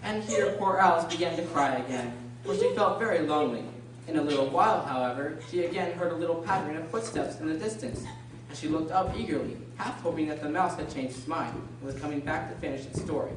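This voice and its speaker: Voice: mid-range voice, fast talker, close to an everyday voice. A narrator reading a scripted story for a radio drama. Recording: echoey room, distant mic, faint noise.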